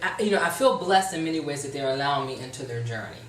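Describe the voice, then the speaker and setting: A woman therapist appearing as a talk show guest, speaking passionately yet measured about depression and the stigma around it. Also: low voice, animated, slight background noise. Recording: roomy sound from a distant mic.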